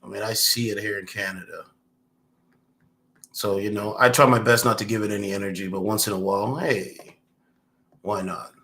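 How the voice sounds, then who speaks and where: Bright-voiced male stream host. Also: fairly low pitch, fairly even intonation, normal speed, long pauses. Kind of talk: live-stream commentary.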